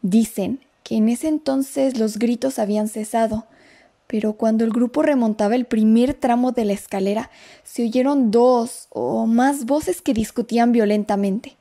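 Una mujer que hace de mesera nerviosa y dramática. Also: female voice, fairly high pitch, dark tone. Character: nervous young female café waitress. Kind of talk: amateur radio drama acting.

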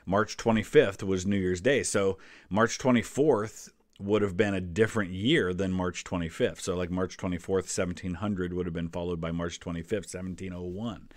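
A man's voice: deep voice, fairly even intonation, moderate pace, dry recording. A middle-aged American man giving casual history commentary, chatty and inclined to explain things with little digressions. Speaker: history video host.

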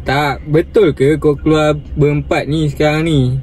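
A man's voice: on the high side, flat delivery, unhurried, a little mellow. A man in his twenties with a Malaysian accent, chatting casually and pressing with questions inside a car. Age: 20s. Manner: insistent, questioning. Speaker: prank caller. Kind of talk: casual phone chat.

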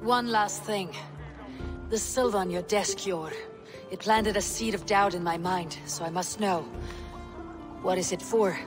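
An actress playing a proud, steadfast Viking woman warrior in a video game, speaking in a measured, formal way. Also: medium pitch, over music, natural voice. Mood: proud, resolute. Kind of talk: dramatic game cutscene acting.

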